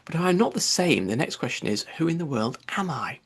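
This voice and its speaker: A man's voice: fairly high pitch, sing-song, a little fast, husky, heavily acted. A man voicing an anxious, chattering young girl from a storybook, musing fretfully to herself. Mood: puzzled, fretful. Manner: muses aloud to self, fretful. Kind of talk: storybook character voice.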